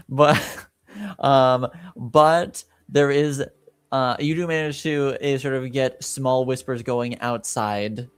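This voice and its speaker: Slow, halting man running a tabletop game. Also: mid-range voice, fairly even intonation, clear, resonant tone. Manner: hesitant, halting, pausing to find words. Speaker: game master. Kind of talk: improvised tabletop game narration.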